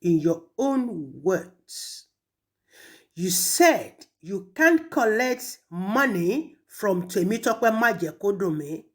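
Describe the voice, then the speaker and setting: A woman with a Nigerian Yoruba accent, speaking accusingly and emphatically in an impassioned public rebuke, with pointed pauses. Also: mid-range voice, expressive. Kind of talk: impassioned public rebuke, switching between languages.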